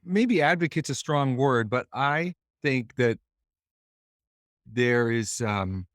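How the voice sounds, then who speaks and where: A man's voice: medium pitch, lively intonation, slow, fairly bright tone, dry recording, clean studio sound. A man from Boston, a musician, talking in a thoughtful, meandering way with many restarts as a guest over a video call. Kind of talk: casual interview chat.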